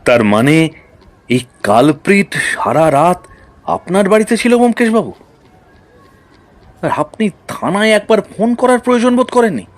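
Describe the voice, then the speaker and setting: A man's voice: a little high, no echo. A man playing a stern, easily affronted police officer in an audio drama, officious and confrontational. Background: background music.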